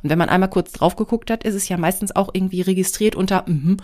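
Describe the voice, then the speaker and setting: A woman's voice: mid-range voice, fast talker, darkish tone. A middle-aged woman hosting her own podcast, talking casually and rambling a bit, nasal and stuffed up from a cold. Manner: scattered, rambling, self-deprecating. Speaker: podcast host. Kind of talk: casual solo podcast chat.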